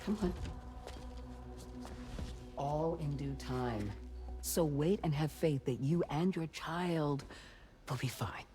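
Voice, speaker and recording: A performer playing a calm, reassuring doctor in a video game, speaking in a measured, gentle, explanatory way. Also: medium pitch, faint music behind, close to an everyday voice. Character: calm reassuring doctor examining a pregnant woman and a pod. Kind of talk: naturalistic game cutscene acting.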